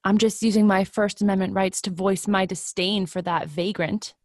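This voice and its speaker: A woman's voice: medium pitch, steady tone, normal speed, no echo, studio quality, lightly acted. A woman voicing an indignant, hostile commuter in a script reading. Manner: indignant, insulting. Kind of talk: readers theatre script reading.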